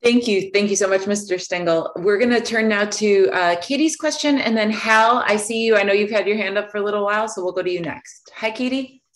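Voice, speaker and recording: A woman moderating an online seminar, briskly thanking speakers and handing the floor to the next questioner. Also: medium pitch. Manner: brisk, friendly, directing turns. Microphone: video call.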